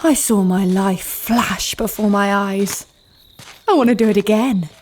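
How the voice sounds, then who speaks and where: A woman's voice: mid-range voice, measured pace, a little mellow, slight background noise, close to an everyday voice. A woman playing a tough, stubborn, thrill-seeking bounty hunter in an audio drama, speaking brashly.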